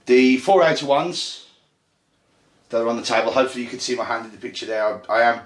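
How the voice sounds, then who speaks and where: Chatty Brummie man explaining game rules. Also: mid-range voice. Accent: Birmingham. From Ireland. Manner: chatty, halting with fillers, self-deprecating. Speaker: host and game runner. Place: indoor room at a gaming table. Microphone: phone. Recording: echoey room on phone mic.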